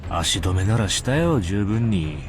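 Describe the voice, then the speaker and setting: A man's voice: low-pitched, sing-song, fairly quick, over music. An actor playing a glib, shrewd businessman in a visual novel, casual and unflappable as he talks. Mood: smug, amused. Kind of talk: Japanese visual novel voice acting.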